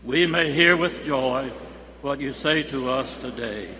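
A man reading scripture formally in a church service, with a measured, declamatory delivery. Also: medium pitch, bright, forceful tone. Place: large church sanctuary. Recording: echoing church hall with faint hum.

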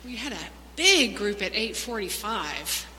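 Bright-voiced woman addressing children in church. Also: mid-range voice, big pitch swings, unhurried. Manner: simple, conversational phrasing for children. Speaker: church children's minister leading children's moment. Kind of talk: children's talk and prayer in a church service. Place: large church sanctuary. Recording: reverberant church hall, humming background noise.

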